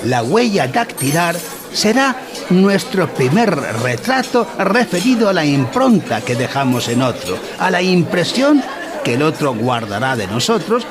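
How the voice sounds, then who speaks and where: Radio play: solemn, didactic male lecturer, mock-educational, highly animated intonation, crowd audible behind. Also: measured pace. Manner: formal, didactic, solemn wording. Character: pompous male instructor of a mock-educational course. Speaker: narrator in an audio drama.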